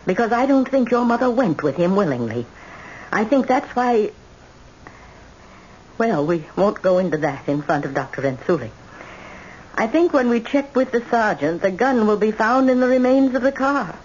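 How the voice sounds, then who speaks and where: A woman's voice: on the high side, big pitch swings, moderate pace, a little mellow. An actress playing a stern, repentant old grandmother in an old-time radio drama. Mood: remorseful, grave. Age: older. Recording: muffled, noisy old radio recording.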